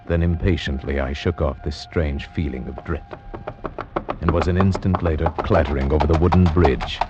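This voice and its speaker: An actor narrating as a grave gentleman recalling a dreadful visit, in 1940s radio drama style. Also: extremely low pitch, fairly even intonation. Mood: solemn. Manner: solemn, measured storytelling. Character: grave gentleman, friend of a doomed man. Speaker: narrator in character. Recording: muffled old radio with music underneath.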